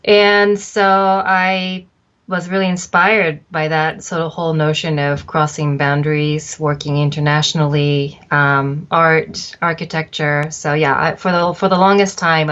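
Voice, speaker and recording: A middle-aged woman from Japan, an arts agency founder, answering interview questions in a thoughtful, measured way with frequent pauses. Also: low voice, flat delivery, fairly bright tone, dull audio.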